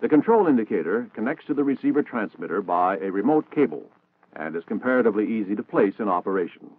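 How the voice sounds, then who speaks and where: Male American narrator, formal and instructional, lively intonation, thin vintage band-limited soundtrack, 1960s military training film read. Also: lowish voice, fast talker, phone-quality sound.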